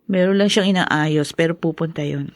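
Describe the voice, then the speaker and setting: A woman's voice: sits low, moderate pace, no echo, studio quality. An actress playing a pregnant young wife in a radio drama, earnest and emotional in her delivery. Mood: resentful. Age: young adult. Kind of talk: Tagalog radio drama acting.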